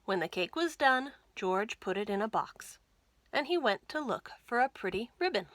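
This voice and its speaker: A woman in her thirties reading a picture book aloud to children, with careful, expressive storytelling. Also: mid-range voice, no echo. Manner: slow, careful, expressive delivery for young children. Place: small indoor room lined with bookshelves.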